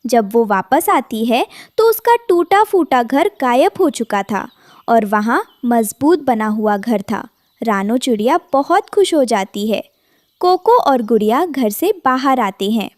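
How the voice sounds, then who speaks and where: A woman's voice: a little high, expressive, a little slow, no echo, studio quality. A woman narrating a scripted cartoon story.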